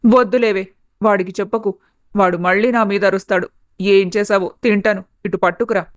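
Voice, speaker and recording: A woman's voice: mid-range voice, steady tone, normal speed, no echo, clean studio sound, close to an everyday voice. Woman acting a whiny, sulky mother-in-law. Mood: grudging, sulky. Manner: whiny, complaining. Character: grumbling, sulky middle-aged Telugu mother-in-law. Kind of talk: animated family-story voice acting.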